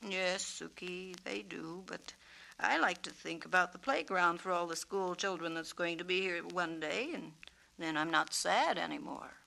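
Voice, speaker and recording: A woman voicing a kindly, wise male peacock in a storybook, patient and measured as he teaches. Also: on the low side, vocal fry, sharp tone, heavily acted, poor audio. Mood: reassuring. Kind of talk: storybook character reading.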